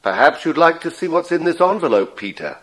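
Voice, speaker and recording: A man's voice: a little high, sing-song, brisk, a bit bright. An actor playing a respectable, kindly father in a children's audio drama, speaking courteously as he explains things. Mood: pleased, kindly.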